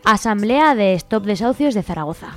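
A woman's voice: mid-range voice, animated, normal speed. A woman with an accent from Spain presenting a radio events listing, read out as announcements. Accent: Spanish from Spain. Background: music bed.

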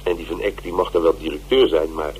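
Low-voiced coarse stagehand. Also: male voice, fairly even intonation, quick pace, a little mellow, slight background noise. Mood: bitter, scornful. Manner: blunt, crude, colloquial. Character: blunt, coarse, resentful male stagehand. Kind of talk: radio drama acting.

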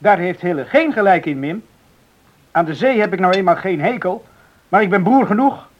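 An actor playing a hot-headed, indignant young drifter in love in a Dutch radio play. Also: male voice, high-pitched, expressive, moderate pace, highs cut off. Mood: aggrieved. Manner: indignant, bantering, protesting.

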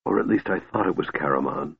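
A man voicing a frail, cold male mage in a storybook, with a soft, shattered whisper and calm, deliberate menace. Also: on the low side, slightly rough, no room sound, phone-quality sound. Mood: calm, bitter.

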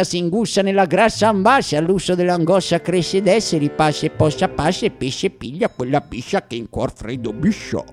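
A man playing a bombastic variety-theatre poet who declaims absurd verse in a grandiose, drawn-out, mock-tragic manner for radio theatre. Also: sits high, darkish tone. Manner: grandiose, over-emphatic, drawn-out delivery with piled-up lists.

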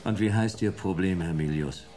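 An actor playing a laconic, world-weary, sardonic private detective in a hard-boiled German radio play, dry and deadpan. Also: male voice, low-pitched, moderate pace, faint music behind, close to an everyday voice. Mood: curt.

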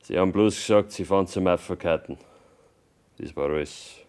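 An actor playing a reticent young farmer's son with a Bavarian accent, answering plainly in a radio play. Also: male voice, low voice, normal speed, a little mellow, smooth. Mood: guarded. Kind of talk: 1970s German radio play acting.

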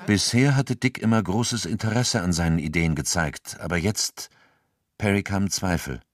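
An actor narrating a true-crime radio drama in a sober, scripted storytelling manner. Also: male voice, deep voice, normal speed.

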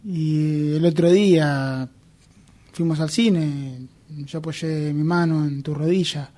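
Man acting lovestruck Argentine man, mellow higher voice. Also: takes it slowly. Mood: tender, nervous. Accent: Argentine, Buenos Aires. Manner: hesitant, earnest. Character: lovestruck, insistent man, tender and awkward. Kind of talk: amateur radio theatre acting.

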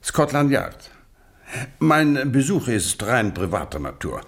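An actor playing a polite, formal, sceptical English police gentleman in a German radio drama. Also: mid-range voice, big pitch swings, takes it slowly, creaky, exaggerated voice. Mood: polite, puzzled.

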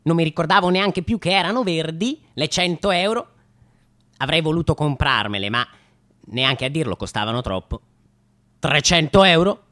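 A man's voice: on the high side, animated, moderate pace, fairly bright tone. A man in his late twenties performing a satirical radio comedy monologue, theatrical and mock-nostalgic, with rhetorical questions and hesitant repetitions. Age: late 20s.

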